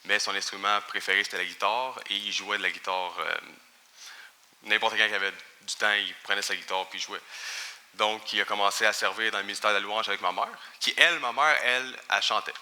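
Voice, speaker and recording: A man's voice: on the low side, fairly even intonation, brisk, pushed and bright, in a large hall. A man in his thirties with a Quebec French accent, giving a chatty, informal personal testimony in church with light humor. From Quebec, Canada. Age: 30s. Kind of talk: personal testimony before a congregation. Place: church sanctuary.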